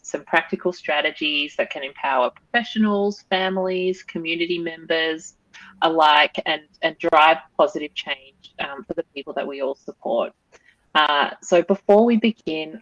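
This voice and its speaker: An Australian woman hosts an online webinar and welcomes attendees with frequent ums. Her voice sits low. The video call audio is dull and dry. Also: fairly bright tone, dry recording. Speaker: webinar host and general manager. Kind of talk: formal webinar introduction.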